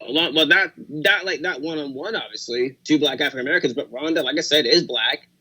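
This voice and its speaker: A young man on a casual podcast, assertive and punchy, quick to back others up. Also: on the high side, sharp tone, breathy edge, poor audio. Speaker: podcast guest.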